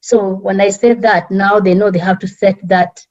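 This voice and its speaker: A woman's voice: medium pitch, flat delivery. Kenyan woman, lo-fi call audio. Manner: halting, restarts often, earnest. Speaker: guest speaker. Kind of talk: community talk panel.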